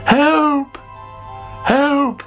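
A storyteller voicing a greedy, cheeky young male caterpillar who is excitable and whiny, in a children's storybook reading. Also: mid-range voice, a little slow, gravelly, soft background music, voice pushed up. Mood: panicked.

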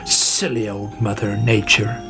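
A man's voice: on the low side, little pitch movement, unhurried, music in the background, pitched below the performer's own voice. A man playing an opinionated old grandfather gardener in a storybook, grumbling and exclaiming, pleased with his own views. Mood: grumbling, smug. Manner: muttering, exclaiming, self-satisfied.

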